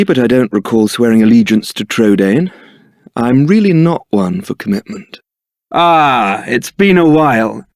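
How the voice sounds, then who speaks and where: A man's voice: medium pitch, a little mellow, dry recording, lightly acted. A man playing a suave, sardonic young templar knight and roguish ladies' man in a fantasy video game, with a dry, theatrical delivery. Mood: wry, teasing.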